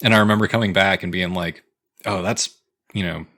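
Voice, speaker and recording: A man's voice: sits low, fairly even intonation, fairly quick, pushed and bright. An American man in his twenties co-hosting a podcast with casual, profane, slangy comedic chat. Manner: profane, slangy, often breaking into laughter. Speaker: podcast co-host.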